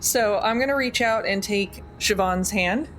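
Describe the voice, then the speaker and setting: A woman's voice: mid-range voice, a little slow, a bit bright, slight background noise. A woman talking casually as a player in a tabletop role-playing game.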